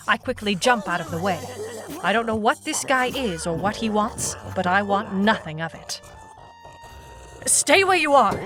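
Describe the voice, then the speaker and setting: A woman's voice: mid-range voice, close to an everyday voice. A woman playing a wry, world-weary, tough private eye, narrating a hardboiled noir audio drama. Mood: alert, urgent. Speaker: lead narrator.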